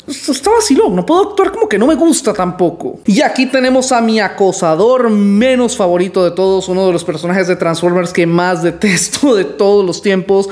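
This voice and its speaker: A man in his twenties with a high voice, talking in a casual, rambling and playfully boastful way in a toy review vlog, recorded at home on a studio mic. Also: lively intonation, brisk, hardly any pauses, a little mellow. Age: 20s. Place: small room at home. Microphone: studio mic on stand in front.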